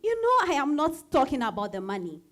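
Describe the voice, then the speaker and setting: High, animated busy mother played by a woman. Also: a little fast, slight background noise, full character voice. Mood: exasperated. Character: Opokuya, busy, outspoken married mother of four. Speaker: actor in a dramatized reading. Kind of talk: dramatized stage-style reading.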